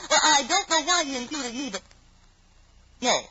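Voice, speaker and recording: An actress playing an anxious, evasive young wife in a halting, dramatic old radio mystery style. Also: female voice, high voice, animated, pushed and bright, muffled recording, a little hiss or hum. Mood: nervous, feigned concern.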